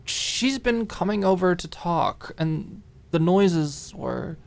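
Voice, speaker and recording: Audiobook drama role: guarded, low-spirited young woman, halting, evasive, easily frustrated woman performer. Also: animated, creaky, full character voice. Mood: hesitant, evasive. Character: Alicia, guarded, depressed young woman hiding vulnerable feelings. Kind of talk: dramatic audiobook character acting.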